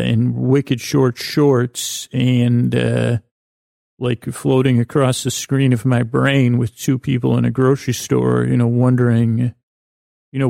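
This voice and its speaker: A man hosting a bedtime podcast, improvising in a halting, meandering monologue that trails off and repeats words, with a gravelly voice. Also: mid-range voice, dark tone, no room sound, clean studio sound. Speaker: podcast host.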